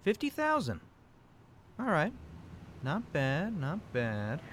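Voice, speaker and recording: An actor playing a grumpy, suspicious, self-important flat-earther in a comedic audio drama, with a pompous, grumbling delivery. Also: male voice, a little high, slow, a little hiss or hum, exaggerated voice. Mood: grimly earnest.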